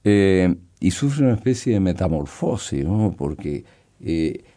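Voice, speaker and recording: Slow, mellow older man. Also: low voice, sing-song. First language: Brazilian Portuguese. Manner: slow, hesitant, halting, thoughtful. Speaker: poet and guest. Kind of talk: reflective interview talk.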